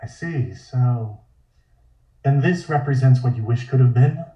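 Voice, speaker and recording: A man playing a reserved, probing police detective in a script table read, with measured, formal questioning. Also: mid-range voice, reverberant, recorded from a distance, dull audio, natural voice. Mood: careful, probing.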